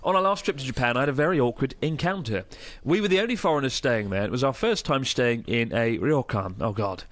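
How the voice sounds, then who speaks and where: A man's voice: mid-range voice, expressive, fairly quick, fairly bright tone, dry recording. A man in his thirties with a Northern English accent, co-hosting a casual podcast, chatting and reading listener mail aloud with laughter. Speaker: podcast co-host.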